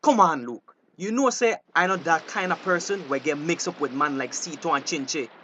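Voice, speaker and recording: An actor plays a high-pitched Belizean Creole fisherman in a radio drama. He is honest and worried, pressing his point with urgent exasperation over faint background noise. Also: animated, moderate pace, bright, forceful tone. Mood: exasperated. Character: anxious, honest male fisherman, wary of crime. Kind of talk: naturalistic dialect radio drama acting.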